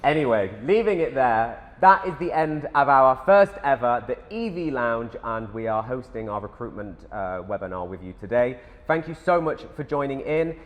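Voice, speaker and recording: A man's voice: medium pitch, animated, normal speed, few pauses, muffled recording. Upbeat young man hosting, echoey hall. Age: 20s. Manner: upbeat, reads out viewer questions. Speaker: webinar host. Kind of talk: hosting a live company webinar. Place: company headquarters. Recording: roomy hall with long reverb.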